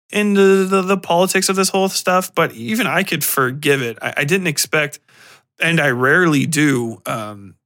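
A man hosting a podcast, giving a casual solo film review in a rambling, halting way with offhand swearing. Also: fairly high pitch, pushed and bright. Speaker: podcast host.

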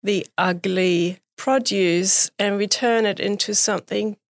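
Woman, from Germany, interview guest, chatty, enthusiastic, mid-pitched voice. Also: fairly even intonation, measured pace, no echo, studio quality. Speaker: guest, food business founder. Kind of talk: casual interview chat.

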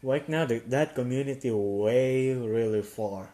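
A young man telling a personal story to the camera in a casual, halting way, with many restarts and fillers. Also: mid-range voice, warm tone. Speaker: gaming YouTuber.

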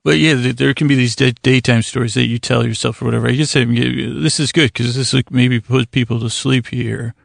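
A man with a heavily creaky, gravelly voice at an average male pitch rambles through a bedtime podcast monologue. He halts, restarts and wanders into tangents as host. The sound is dry and close, with very little room to it. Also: fairly even intonation, dry recording. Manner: meandering, halting, tangent-prone delivery with self-interruptions.

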